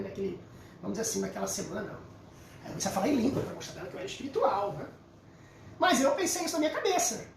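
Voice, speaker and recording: Carioca guy doing an informal bible lesson, animated and emphatic, echoey room with faint noise. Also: very high voice. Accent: Carioca Brazilian. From Rio de Janeiro, Brazil. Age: middle-aged. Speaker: Bible teacher. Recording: roomy echo, distant mic, faint noise.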